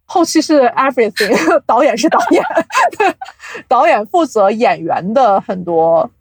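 A woman's voice: fairly high pitch, animated, measured pace, breathy edge, dry recording. A woman speaking as an interview guest, explaining audio production in casual chat and mixing in English terms.

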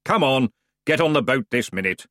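A man's voice: mid-range voice, lively intonation, normal speed, fairly bright tone, dry recording, studio quality, pitched above the performer's own voice, close to an everyday voice. A man voicing a weary, strict male ferryman of the underworld in a storybook, speaking in a stern, grudging, exasperated manner.

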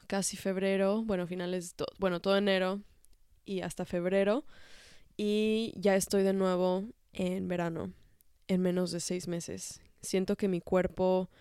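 A young woman hosting a podcast, talking in a relaxed, reflective way with many fillers, a little congested from a cold. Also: medium pitch, smooth, dry recording, studio quality. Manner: warm, unhurried, frequent fillers and soft laughs. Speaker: podcast host. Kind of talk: reflective casual monologue.

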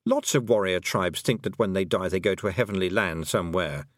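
A man voicing a detached, pedantic talking toad with a deadpan manner in an audiobook. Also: low voice, brisk, dry recording, pitched below the performer's own voice, close to an everyday voice. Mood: matter-of-fact.

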